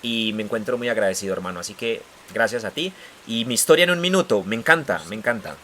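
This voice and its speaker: A man's voice: lowish voice, expressive, fast, sharp tone, a little hiss or hum. A man, a podcast guest speaking in an effusive, heartfelt way. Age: 20s. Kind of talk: casual podcast chat.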